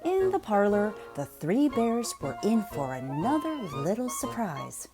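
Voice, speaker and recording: A woman reading a children's picture book aloud in a playful, expressive way, drawing out words for emphasis. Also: medium pitch, a little slow, over music. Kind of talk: animated children's read-aloud narration.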